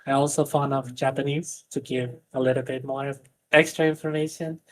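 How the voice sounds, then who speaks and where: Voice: medium pitch, steady tone, normal speed. A voice coach whose first language is Spanish, earnestly explaining voice training on a live stream with plenty of fillers and restarts.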